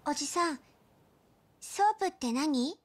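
A woman's voice: sits high, expressive, a little slow. A woman playing a curious, cheeky little girl in a game cutscene, with a childlike, direct way of talking. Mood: curious, innocent. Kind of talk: Japanese video game cutscene acting.

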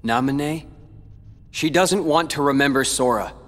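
A man's voice: mid-range voice, moderate pace, fairly bright tone, long reverb tail, lightly acted. A man voicing a troubled, defiant young male hero in a video game cutscene, earnest and emotional. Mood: protective.

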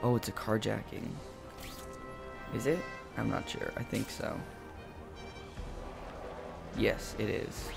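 A man casually commenting as he plays a video game, chatty and talking himself through each move, his voice gravelly after just waking up. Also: fairly low pitch, fairly even intonation, takes it slowly, long pauses. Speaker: gameplay commentator. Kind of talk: casual let's-play commentary. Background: game music and sound effects.

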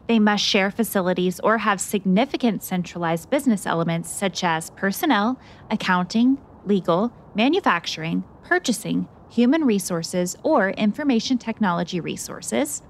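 A woman's voice: medium pitch, natural voice. Woman playing a plucky investigative reporter, faint noise. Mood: matter-of-fact. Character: plucky, self-assured female investigative reporter. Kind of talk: scripted radio drama dialogue.

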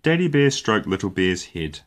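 A man with a low voice narrates a children's picture book. His delivery is deliberate and expressive, with lively swings in pitch, as a storyteller hosting story time. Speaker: storyteller, show host.

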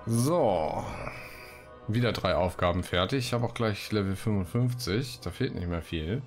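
A man with a very low voice hosts a Let's Play. He talks casually and jokingly, half to himself, and reads quest text aloud. Faint game music runs behind him. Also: expressive, measured pace. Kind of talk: casual gaming commentary with read-out quests.

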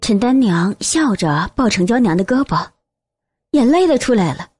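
A woman reading a novel aloud as an expressive, scripted audiobook narrator. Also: mid-range voice, moderate pace, breathy edge, dry recording, soft background music.

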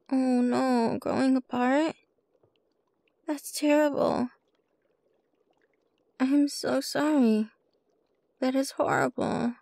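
A woman playing a sly, playful witch shopkeeper with a soft heart in an ASMR roleplay, coy and teasing as she addresses the listener. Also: high voice, gravelly, no room sound, heavily acted. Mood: sympathetic. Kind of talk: ASMR roleplay, scripted character monologue.

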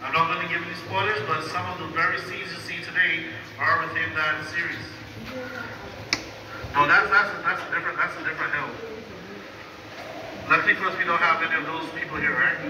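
A man's voice: fairly high pitch, fairly even intonation, brisk, pauses often, distant mic. A man working as a cave tour guide, chatty and joking with the group as he talks them through the sights. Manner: chatty, jokey, addresses the group as guys. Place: underground cave aboard a tram. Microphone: filmed by a rider's phone from a few rows away. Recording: echoey cave from afar with loud vehicle noise.

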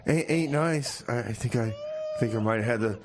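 A man's voice: medium pitch, normal speed, noisy background, lightly acted. A man playing a flustered, broke community radio host who stammers and repeats himself in rambling comic DJ patter. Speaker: radio show host in a comedy sketch.

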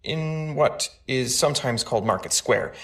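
A man's voice: medium pitch, lively intonation, creaky. A man playing a courteous older bank treasurer giving careful, formal testimony in a dramatic trial reading. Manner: formal, measured, cautious answers.

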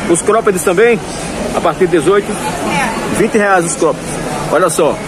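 Brief: man with a high voice, pushing wholesale clothes on a phone camera in a noisy market hall. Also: normal speed, over music. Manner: upbeat salesy patter, repeats prices. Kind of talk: market walk-through sales vlog. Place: busy indoor clothing market. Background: crowd chatter.

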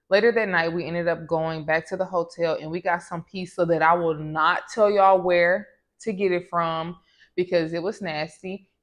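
A woman of about thirty from the American South talks straight to camera in a chatty, easygoing way. Her voice sits somewhat low, and it is close and clean from a lapel mic in a small room at home. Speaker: vlogger. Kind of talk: casual travel vlog.

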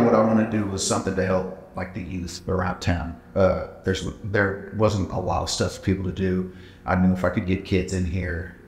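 A man in his thirties from Texas, a gym owner answering interview questions in a plain-spoken, halting way with many fillers. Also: low-pitched. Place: large indoor gym. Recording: echoey gym room.

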